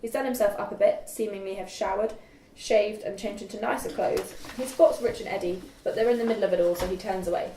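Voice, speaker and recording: A woman's voice: medium pitch, quick pace, warm tone. A young woman reading scripted stage directions as narrator at a table read, a little distant in an echoey room. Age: young adult. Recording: echoey room, distant mic, faint noise.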